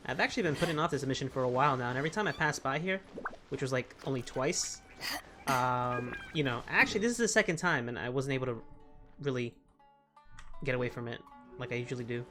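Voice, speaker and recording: A man's voice: mid-range voice, fast talker, bright, forceful tone, soft background music. A man giving casual, chatty commentary while he plays a video game. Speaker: gamer, video creator.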